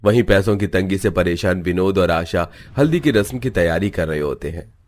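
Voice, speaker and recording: A man's voice: low voice, moderate pace, soft background music. A man giving scripted storytelling narration for a cartoon.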